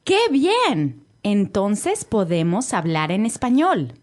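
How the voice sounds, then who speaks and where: A woman acts out a friendly young business traveler from Mexico in a lesson dialogue. Her delivery is slow and careful, with very lively intonation. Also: mid-range voice. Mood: delighted. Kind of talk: acted language-lesson dialogue.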